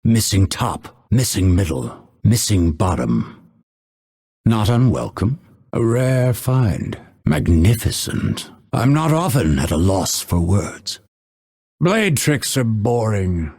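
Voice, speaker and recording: A man voicing a cold, proud assassin in a video game, sardonic and impatient, with a gravelly, husky, theatrical and clipped delivery. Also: lowish voice, sing-song, slow, a little mellow, full character voice. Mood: dry, confident. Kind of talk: video game character voice lines.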